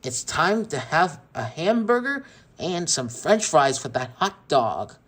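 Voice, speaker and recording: A man's voice: a little high, sing-song, a bit bright, voice pushed up, heavily acted. A man doing a gloating, cruel boy. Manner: sneering, slow, gloating. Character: cruel mocking boy who torments toys.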